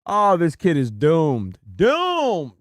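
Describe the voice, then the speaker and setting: A man's voice: fairly high pitch, huge pitch swings, extremely slow, no echo, studio quality. A man streaming a reaction video, chatting casually and crudely while joking and laughing along.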